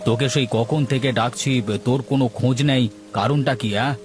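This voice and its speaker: A man's voice: mid-range voice, steady tone, fast talker, slight background noise, close to an everyday voice. A man voicing a grumbling, food-loving village householder in a Bengali cartoon. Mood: exasperated.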